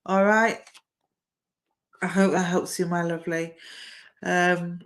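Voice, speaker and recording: A middle-aged woman, chatting warmly through a live-stream card reading and calling viewers "my darling". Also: fairly low pitch, level tone, slow pace. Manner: chatty and affectionate, says "my darling" often. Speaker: psychic medium giving live readings.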